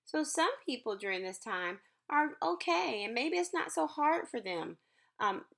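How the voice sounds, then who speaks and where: A woman's voice: high-pitched, big pitch swings, measured pace, creaky, a bit bright. A woman reads a picture book aloud to young children and talks to them in an encouraging, reassuring way as a school counselor.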